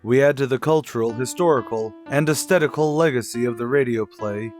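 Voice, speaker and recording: A man introducing a suspense radio play as its host, in grandiose, deliberate, dramatic scripted narration. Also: mid-range voice, darkish tone, soft background music.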